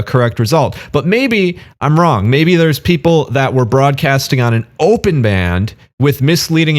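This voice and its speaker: Questioning man giving blunt opinion commentary. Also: mid-range voice. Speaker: video host.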